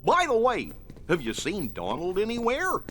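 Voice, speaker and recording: A man voicing a kindly, loyal, bumbling cartoon dog sidekick in a video game, husky and folksy. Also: sits high, huge pitch swings, normal speed, fairly bright tone, a little hiss or hum, heavily acted. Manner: folksy, bumbling, good-natured.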